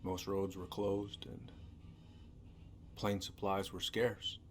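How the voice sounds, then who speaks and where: Low-voiced gruff American uncle. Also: male voice, flat delivery, slow pace. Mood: weary, regretful. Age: middle-aged. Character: gruff, weary middle-aged uncle and small-town lawman. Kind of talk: naturalistic video game drama acting. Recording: noisy game capture with hum.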